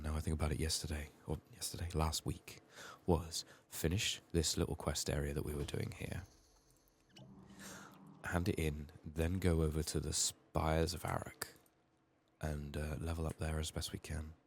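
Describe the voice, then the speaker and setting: A man with an extremely low, gravelly voice, strained by illness, murmurs through a video game in soft ASMR style. He thinks aloud without hurry, sometimes dropping into a whisper, with casual swearing. Faint noise sits behind him. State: sick, strained voice. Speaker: gaming video host.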